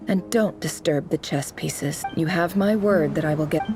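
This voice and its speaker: Woman acting haughty game boss lady, low voice, measured, sardonic, commanding, music behind. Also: lightly acted. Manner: measured, imperious, wryly sardonic. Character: haughty, shrewd, commanding female boss with a chess set.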